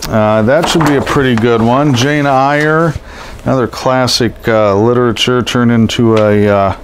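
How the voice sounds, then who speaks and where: A man's voice: medium pitch, steady tone, measured pace. Middle-aged man, scratchy and congested from a cold, loose chatty delivery with filler words. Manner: rambling, lots of ums and uhs. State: stuffed up from a head cold. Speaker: collectibles seller. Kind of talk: casual show-and-tell narration. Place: indoors over boxes of posters.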